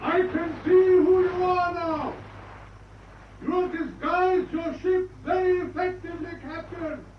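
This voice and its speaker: An actor playing a brisk, authoritative U-boat commander hailing across the water in a radio drama. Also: male voice, very high-pitched, fairly even intonation. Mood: hailing, calling out. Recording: muffled, echoing, distant shouts with faint noise.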